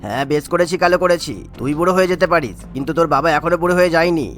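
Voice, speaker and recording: A man acts out a vain old cartoon father-in-law, wheedling and boastful. He rattles along very fast in a slightly high voice and bristles easily, with music underneath. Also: a bit bright. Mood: indignant boasting. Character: vain, sly old father-in-law chasing a rich girlfriend. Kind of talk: Bengali cartoon voice acting.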